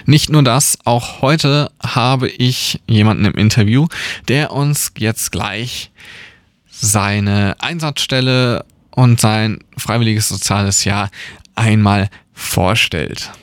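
Slow-talking young radio host. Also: male voice, lowish voice, no echo. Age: 20s. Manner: upbeat, friendly presenter. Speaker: radio volunteer and interviewer. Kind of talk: radio presenting and interviewing.

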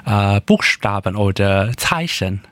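A young man from Taiwan speaking German with a Taiwanese accent as a radio interview guest, pausing often with fillers while he searches for words. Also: lowish voice, animated, fairly bright tone, no echo. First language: Taiwanese Hokkien and Mandarin.

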